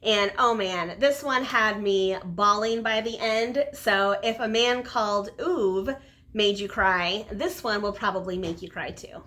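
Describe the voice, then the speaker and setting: A woman's voice: mid-range voice, measured pace, pushed and bright. A woman talking casually to viewers as a book reviewer, conversational and emphatic on key words. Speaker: book reviewer, video host. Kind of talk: casual book chat to camera.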